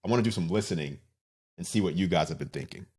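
A man with a very low voice hosts a casual podcast. He steers the chat and often cuts in with follow-up questions. He talks over a headset. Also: fast, darkish tone, slightly rough. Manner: leads the talk, asks follow-up questions. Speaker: podcast host.

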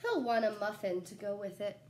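A young adult woman reading a children's picture book aloud like a teacher, in an animated, expressive way with asides to young listeners. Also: medium pitch, a little hiss or hum. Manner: animated, pausing to ask young listeners questions. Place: small living room at home, indoors. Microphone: phone camera a short distance away.

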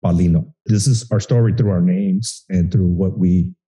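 A man's voice: sits low, steady tone, normal speed, dark tone. A middle-aged man, a history instructor moderating a panel and speaking to an audience. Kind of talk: public talk with personal family history. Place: college event room, on stage.

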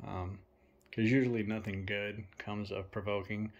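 A young man filming a ghost-hunting vlog in a dark abandoned farmhouse, talking to spirits and loudly challenging them. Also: fairly low pitch, level tone, normal speed, highs cut off. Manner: loud provoking challenges to the spirits. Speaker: paranormal investigator.

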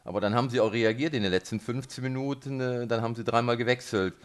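A man hosting a local sports radio interview, phrasing his questions in a halting, hesitant way. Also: medium pitch, fairly even intonation, smooth. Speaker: radio host interviewing a football coach.

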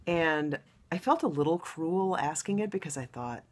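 A middle-aged woman hosting her own podcast, chatting in a rambling way with a low, husky voice from a recent loud wedding, recorded at home. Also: lively intonation, moderate pace. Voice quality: low baritone voice. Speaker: podcast host. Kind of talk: casual podcast monologue.

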